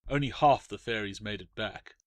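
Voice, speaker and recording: A man's voice: lowish voice, normal speed, no room sound, studio quality. A man reading his own short story aloud as a dramatic, scripted narration.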